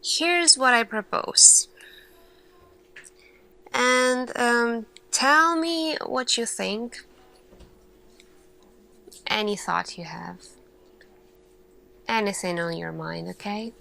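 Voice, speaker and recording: A woman performing an ASMR sci-fi roleplay as an earnest, thoughtful lead scientist, speaking deliberately with pauses. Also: a little high, vocal fry, sharp tone, poor audio. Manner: slow, deliberate, pausing, questioning delivery. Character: earnest, thoughtful female lead scientist addressing her team.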